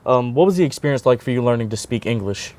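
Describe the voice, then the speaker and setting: A young man hosts a small college studio interview show in an everyday mid-pitched voice. He keeps it upbeat and casual, dropping jokey catchphrases between his questions. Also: lively intonation, fast talker, a little mellow. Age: young adult. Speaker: host.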